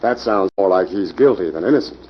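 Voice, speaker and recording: A man's voice: sits low, lively intonation, a little fast. A man playing a hearty, businesslike sawmill boss, speaking emphatically in an old radio drama. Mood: smooth, curious. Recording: muffled old radio recording, faint noise.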